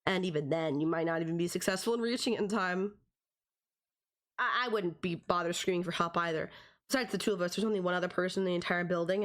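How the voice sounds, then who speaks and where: A woman's voice: mid-range voice, lightly acted. A woman playing a possessive, teasing young stalker in an audio roleplay, talking straight to the listener in a conversational, condescending yet affectionate way. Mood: smug, calm.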